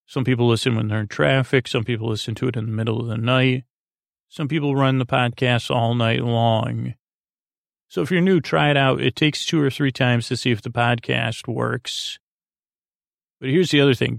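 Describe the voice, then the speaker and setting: A man with a very creaky, fairly flat voice talks in a dry, close-sounding recording. He rambles soothingly like a bedtime podcast host, wandering off, pausing long and restarting his sentences. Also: medium pitch, no echo. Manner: meandering and halting, with drawn-out pauses and false starts.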